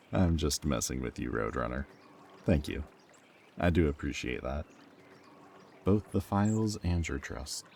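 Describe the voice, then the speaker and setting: A man playing a protective, teasing former villain in an audio roleplay, with a wry, casual, halting delivery. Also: extremely low pitch, lively intonation, vocal fry, darkish tone, slight background noise, heavily acted.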